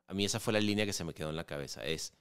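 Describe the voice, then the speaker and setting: A man in his forties from Venezuela with a Venezuelan Spanish accent, a guest chatting in an interview, relaxed, with many fillers and restarts. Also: low voice, very fast, clean tone. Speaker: podcast guest, filmmaker. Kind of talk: casual interview conversation.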